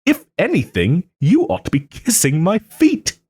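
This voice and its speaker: A man playing a smug but soft-hearted nobleman and former lover in an audio roleplay, suave and refined, with gentle teasing and hesitant pauses. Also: high-pitched, wildly swooping pitch, breathy edge, no echo, studio quality, exaggerated voice. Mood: indignant, haughty. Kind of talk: audio roleplay, dramatic monologue to listener.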